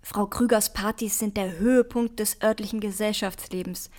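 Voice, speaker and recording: A woman's voice: mid-range voice, fairly even intonation, normal speed, darkish tone, lightly acted. An actress playing a formal, welcoming female hotel receptionist in a German radio drama. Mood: courteous, welcoming.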